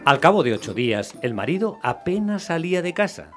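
A man's voice: on the high side, very animated, brisk, music in the background. A man narrating a scripted story in the style of radio theatre.

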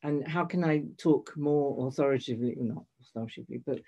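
A woman's voice: rumbling low, fast talker, gravelly, mellow, slightly rough. An older woman telling a long personal story in conversation, hesitant, restarting and correcting herself often. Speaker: guest.

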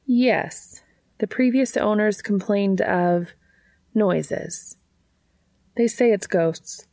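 A woman reader puts on a weary woman realtor. She is guarded and reluctant, with a creaky edge to the voice. It sounds like story narration, recorded close and dry. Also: mid-range voice, very slow, mellow, dry recording, voice pushed up. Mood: weary resignation.

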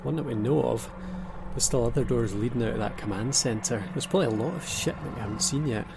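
A man playing a wry, gravelly young abductee. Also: medium pitch, quick pace, background noise, lightly acted. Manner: dry, sardonic humour. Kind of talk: audio drama acting. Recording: music score underneath.

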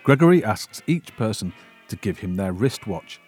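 A man's voice: lowish voice, sing-song, moderate pace, darkish tone, husky, faint music behind. A man hosting a film podcast, talking to guests and to listeners. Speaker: podcast host and producer. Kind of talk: Q&A chat and scripted narration.

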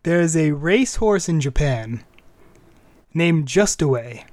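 A man with a fairly high voice hosts a podcast in a casual, conversational way. The sound is dry and close, and his delivery is informal, a bit halting when he improvises. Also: animated, darkish tone, dry recording. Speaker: podcast host.

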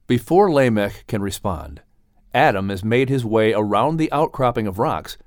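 A man narrating an audiobook with dramatic, expressive storytelling. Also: lowish voice, moderate pace, dry recording, clean studio sound.

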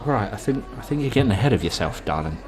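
A man playing a hesitant, bemused dinner date who speaks politely and haltingly in an improvised comedy radio play. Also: fairly low pitch, expressive, a little mellow, soft background music, a faint crowd, lightly acted. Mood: bemused, wary.

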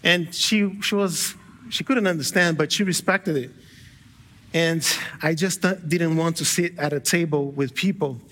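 A man's voice: a little high, measured pace, a bit bright. A man from Brazil preaching a sermon to a church congregation. He speaks conversationally, often correcting himself mid-sentence and adding light humor. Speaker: guest pastor. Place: church sanctuary with a live congregation.

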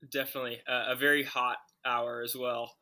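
A man, a guest runner, answering in an even, measured way with plenty of fillers. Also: mid-range voice. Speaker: guest ultrarunner. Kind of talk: casual interview chat.

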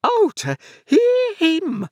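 A man voicing a shrill, scolding married woman who works herself into a melodramatic rage in a storybook reading. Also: animated, unhurried, dry recording, dull audio, heavily acted. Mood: shrieking hysteria. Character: shrill shrewish middle-aged married woman in a mounting rage.

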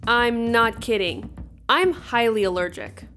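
A woman's voice: fairly high pitch, unhurried, bright, forceful tone, soft background music. A woman playing a fussy, irritable and bossy stage manager in a radio play, with an imperious, complaining way of talking. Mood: irritated, alarmed.

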